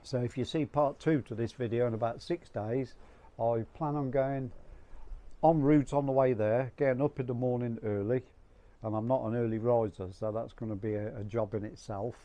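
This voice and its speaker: A middle-aged man talks to camera in a rambling, chatty way, his voice croaky and hoarse from a cold. His tone is dark and mellow. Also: medium pitch, steady tone, normal speed. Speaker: vlogger. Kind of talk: casual chat to camera.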